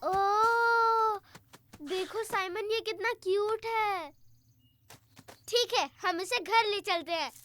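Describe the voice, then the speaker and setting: A performer in a children's cartoon dub playing an excitable, timid toddler rabbit boy with a whiny, exclaiming way of talking. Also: extremely high pitch, takes it slowly, pauses often, full character voice. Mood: curious. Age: child character. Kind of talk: Hindi children's cartoon dubbing.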